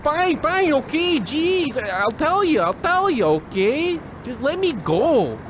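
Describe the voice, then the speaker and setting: A man performs a coy little underworld snitch in a comic radio drama. The pitch is high and very animated, and dockside ambience is clearly heard behind it. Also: slow, background noise, heavily acted. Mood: panicked. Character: cagey, small-time male dockside informant.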